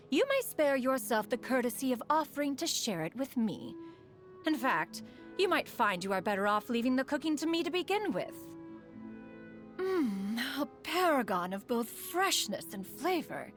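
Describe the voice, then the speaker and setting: A woman's voice: on the high side, animated, moderate pace, faint music behind, natural voice. A woman voicing a proud, refined immortal sage and inventor in a video game, with formal, archaic, poised diction. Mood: pleased. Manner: formal, stately, archaic diction, refers to herself as "one". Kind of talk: scripted game character voice lines.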